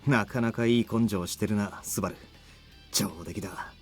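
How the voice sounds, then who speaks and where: A man playing a calm, cocky, confident fighter in a visual novel game. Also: fairly low pitch, close to an everyday voice. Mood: dry, approving. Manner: calm, cocky, unhurried by danger. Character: cool, confident tall male fighter ally. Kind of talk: Japanese visual novel voice acting. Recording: music under the voice.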